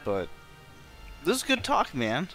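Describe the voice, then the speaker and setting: Bright-voiced man chatting on a stream. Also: on the high side, wildly swooping pitch. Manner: loose, halting, slangy. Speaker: game streamer. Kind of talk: casual chat. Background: faint music.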